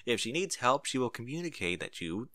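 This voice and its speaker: A man's voice: mid-range voice, very animated, a little fast, a bit bright, dry recording, studio quality. A man voicing an earnest, know-it-all pony in a story, explaining things emphatically. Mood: earnest, advising.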